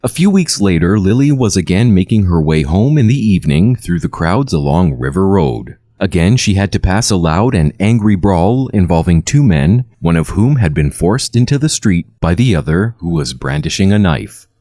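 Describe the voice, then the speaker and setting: Casting: male horror storyteller, low register with animated melody, measured scripted read, very dry recording. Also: dry recording. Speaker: storyteller, narrator.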